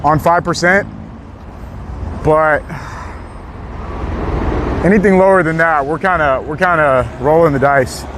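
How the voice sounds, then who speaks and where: A man with a hoarse, worn-out voice chatting casually to his live-stream viewers outdoors on a city street. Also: a little high, measured pace, long pauses. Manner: chatty, laid-back, talks straight to viewers. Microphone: small clip-on mic. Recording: loud street noise outdoors.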